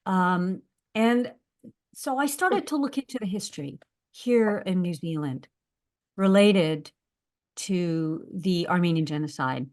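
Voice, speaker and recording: A woman's voice: lowish voice, slow. A woman with an American accent, a university lecturer, speaking on a webinar over a video call, with many filler words. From United States. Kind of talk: webinar talk.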